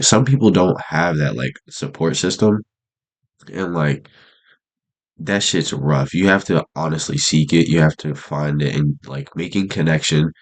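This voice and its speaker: A young man with an extremely deep voice and a stuffed-up, congested nose talks casually to his camera. His speech is loose and rambling, packed with slang and fillers, in an unscripted vlog monologue. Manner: laid-back, rambling slang talk with many fillers. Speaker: vlogger. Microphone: camera mic.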